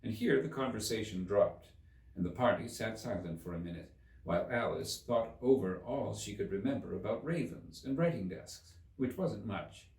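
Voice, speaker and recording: A man reading a children's storybook aloud as a narrator, in an expressive scripted style. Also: fairly low pitch, normal speed. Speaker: audiobook narrator. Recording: roomy, slightly distant microphone.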